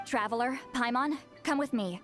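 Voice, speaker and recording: A woman voicing a proud, brusque, youthful-sounding shaman in a video game, with a brisk and blunt way of talking. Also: on the high side, a bit bright, soft background music, natural voice. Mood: matter-of-fact.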